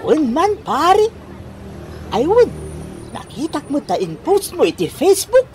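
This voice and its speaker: A woman's voice: sits high, wildly swooping pitch, a little slow, a little mellow, some chatter behind, a little hiss or hum, full character voice. A woman playing an old man's teasing male buddy in a radio comedy drama, with an exaggerated sing-song delivery. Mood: teasing, amused.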